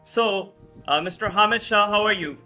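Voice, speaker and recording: A male podcast host with a higher, bright voice asks his guest formal questions with hesitant fillers. Faint music plays in the background. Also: moderate pace. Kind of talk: podcast hosting, introducing guest.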